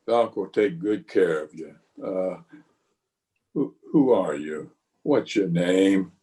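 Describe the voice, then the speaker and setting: An actor playing a weary, watchful Old West marshal in a radio western, speaking and narrating in a measured, terse, grave way. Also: male voice, deep voice, animated, warm tone. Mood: sober. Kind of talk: old-time radio western acting with first-person narration.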